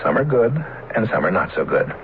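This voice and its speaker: A man's voice: low voice, big pitch swings, brisk, vocal fry, narrow, tinny audio. A man speaking plainly and advisingly in a scripted public service radio announcement. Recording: old radio, thin, some noise.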